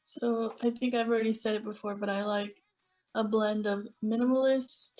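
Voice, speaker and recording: A woman's voice: on the high side, flat delivery, moderate pace, dark tone, faint music behind, rough recording. A woman from Florida answering questions as a guest in a casual interview. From Florida, United States.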